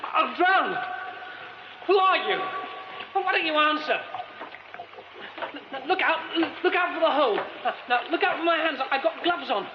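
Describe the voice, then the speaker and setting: A polite, educated British theatre man in a radio drama. His voice is high and lively, expressive and excitable. It comes through a dull, noisy recording. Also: moderate pace, pauses often. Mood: panicked. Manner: expressive, muttering exclamations to himself.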